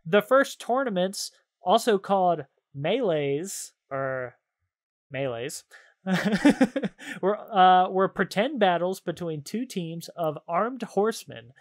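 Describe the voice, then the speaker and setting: A man's voice: sits high, animated, slow. A man hosting a podcast in casual chat, playful and jokey, reading out trivia cards and often amused. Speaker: podcast host and quizmaster.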